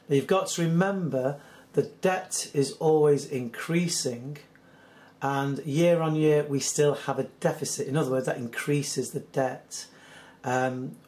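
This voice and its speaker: A hoarse British man hosting a solo commentary, reading out news articles in an earnest, skeptical, measured way. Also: mid-range voice, a little mellow. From United Kingdom. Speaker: channel host.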